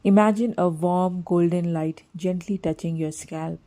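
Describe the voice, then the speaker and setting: Slow, mellow woman guiding meditation. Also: fairly low pitch, steady tone. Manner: slow, soothing, gently measured delivery. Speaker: meditation guide. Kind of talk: scripted guided meditation narration.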